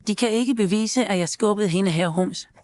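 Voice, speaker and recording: Woman as accused accomplice, mid-pitched and formal. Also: darkish tone, dry recording, clean studio sound, natural voice. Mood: defensive. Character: accused young woman accomplice, defensive yet remorseful. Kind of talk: scripted audio story dialogue.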